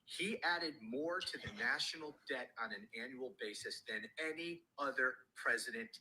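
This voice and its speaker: A man ranting indignantly in a played video clip, loud and exasperated. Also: mid-range voice, moderate pace, slight background noise, rough recording. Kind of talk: angry political rant in a video clip.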